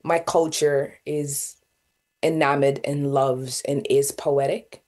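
A young woman from Sudan, Arabic her first language, answers in a low voice. She searches for her words, circling back with fillers and restarts as she reflects. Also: fairly even intonation, slow, darkish tone. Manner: thoughtful, many fillers and restarts. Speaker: guest poet and artist. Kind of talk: reflective interview talk.

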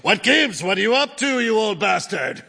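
A man voicing a loud, hoarse, boisterous male phone caller in a story reading, recorded in a reverberant hall. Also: high-pitched, expressive, moderate pace, heavily acted.

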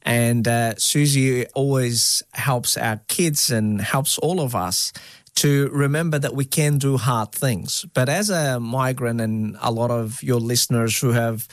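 A man's voice: medium pitch, a little slow, no room sound, studio quality. A middle-aged man from Egypt, whose first language is Arabic, telling a personal story as an interview guest, with many fillers and restarts.

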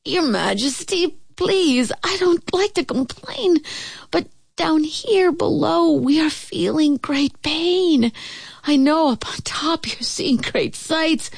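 A woman's voice: sits high, expressive, takes it slowly, vocal fry, dry recording, pitched above the performer's own voice, exaggerated voice. A woman voicing a meek, polite little male turtle who pleads and groans in a storybook read-aloud. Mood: aching, pleading.

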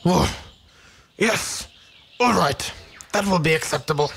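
A big male koala from a children's storybook, put on by a woman narrator. He growls and bellows grumpily in a heavily creaky voice. His delivery is slow and swings widely in pitch. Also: expressive, a bit bright, slight background noise, exaggerated voice. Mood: grudging. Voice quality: growly, gravelly.

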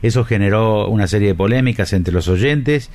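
A man's voice: lowish voice, moderate pace, darkish tone. Argentine man, radio host, chatty and jokey, steers the conversation. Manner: chatty, jokey, leading the talk. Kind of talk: radio interview.

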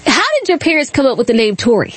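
A woman's voice: on the high side, lively intonation, quick pace, breathy edge, dry recording. A woman hosting a talk radio show, chatting casually with lots of fillers and restarts.

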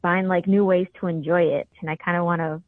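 A woman from Venezuela, a native Spanish speaker, talks casually as a caller. Her voice sits a little low and comes through dull, lo-fi call audio with no room sound. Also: fairly even intonation, a little fast, no room sound. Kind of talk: casual chat.

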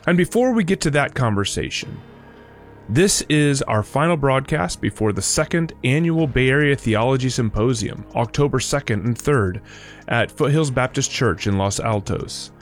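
Man reading a podcast intro over soft music. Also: mid-range voice, expressive, normal speed. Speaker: podcast host. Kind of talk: scripted intro narration.

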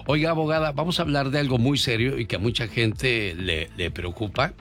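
A man's voice: medium pitch, a little fast, fairly bright tone, soft background music. Man hosting a radio show. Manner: jokey, then reads out a phone number twice. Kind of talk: Spanish-language call-in radio talk.

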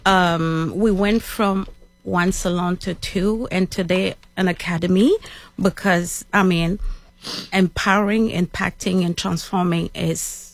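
A middle-aged woman with a Cameroonian accent, speaking calmly and in a measured way as an interview guest. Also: lowish voice, rough recording. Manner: calm, measured. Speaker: interview guest, business owner. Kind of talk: conversational interview.

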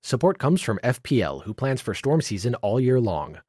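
A man's voice: medium pitch, sing-song, moderate pace, darkish tone, breathy edge, no room sound, clean studio sound. A man reading sponsor announcements for a radio show in a polished way.

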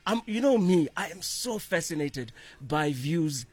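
A South African man co-hosting a morning radio show while sick with a cold, nasal and husky, joking and rambling. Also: on the high side, lively intonation, unhurried, a little mellow. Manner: jokey, self-pitying, rambling. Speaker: radio breakfast show co-host. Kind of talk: casual morning radio banter. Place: radio studio. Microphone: studio microphone.

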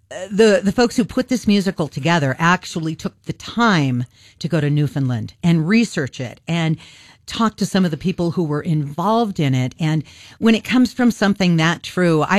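A woman's voice: lowish voice, dry recording, clean studio sound. A woman hosting an interview in a casual, chatty way, laughing often. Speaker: host.

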